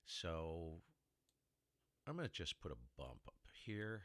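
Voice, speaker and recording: A man with a very low baritone voice hosting a casual ham radio tutorial, rambling and sidetracking as he explains, recorded in a heavily dampened room. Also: sing-song, slow, a bit bright, no echo. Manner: rambling, self-interrupting explainer with mic tests. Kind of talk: casual how-to demonstration.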